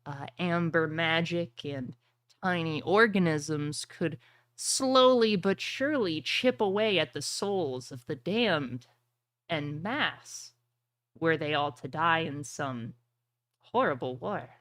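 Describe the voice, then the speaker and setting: A woman voicing a smug, secretive chaos witch in a tabletop game, speaking in slow, formal, teasing phrases. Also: low-pitched, animated, a bit bright, no room sound, exaggerated voice. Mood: scheming. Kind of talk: tabletop roleplay dialogue.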